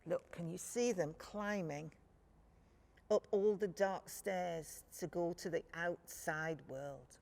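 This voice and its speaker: A woman's voice: lowish voice, slow pace, creaky, a little mellow. A woman storyteller reading a picture book expressively to young children, addressing them directly.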